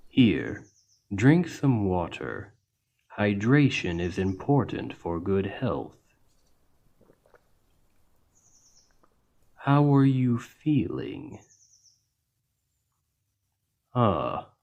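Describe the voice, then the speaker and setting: A man voicing a towering, gentle orc healer who speaks patiently and reassuringly in an ASMR roleplay. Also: lowish voice, gravelly, dull audio, heavily acted. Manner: slow, gentle, reassuring, deliberate. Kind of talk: ASMR roleplay spoken to the listener.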